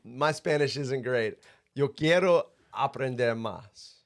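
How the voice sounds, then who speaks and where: An upbeat man hosts a relaxed surf podcast from a studio. He is chatty and laughs often, and his voice sits at an ordinary middle pitch. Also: slow. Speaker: podcast host. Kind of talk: casual surf podcast interview.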